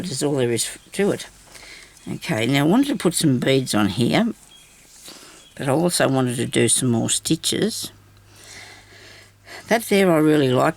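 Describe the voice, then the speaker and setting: Older woman, deep raspy voice, gravelly fry, chatty craft vlog. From Australia. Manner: chatty, self-deprecating, laughs often. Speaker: craft vlogger. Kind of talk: rambling casual chat while crafting.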